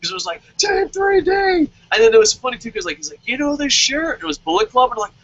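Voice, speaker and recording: Excitable man with bright voice, lo-fi. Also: very high voice, fast talker. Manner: excitable, jumps into loud animated quotes, stammers at times. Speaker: wrestling review host. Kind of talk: casual podcast chat. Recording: dull lo-fi audio.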